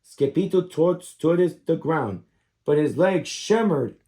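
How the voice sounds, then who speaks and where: A man's voice: a little high, lively intonation, unhurried, mellow. A man in his thirties reading a children's story aloud expressively. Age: 30s. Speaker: storytime reader.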